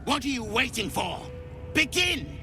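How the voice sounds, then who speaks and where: An actor playing a harsh, contemptuous githyanki drill instructor in a video game, speaking imperiously over loud music. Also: male voice, very high-pitched, big pitch swings, gravelly, full character voice. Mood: commanding, angry. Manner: imperious, scornful, deliberate.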